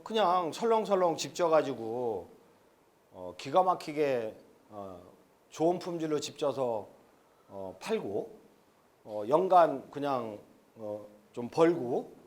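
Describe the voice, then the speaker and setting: A man in his fifties lecturing to a live audience at an expo seminar. He speaks in a fairly high, animated voice that rises and falls a lot, talking frankly and rambling between points with plenty of "uh" fillers. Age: 50s.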